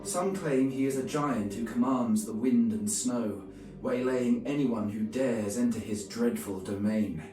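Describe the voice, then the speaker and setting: An actor playing a grave, pious medieval crusader who narrates his campaign journal in a solemn, formal, measured way. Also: medium pitch, reverberant, distant mic, close to an everyday voice, rough recording. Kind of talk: scripted dramatic narration. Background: music.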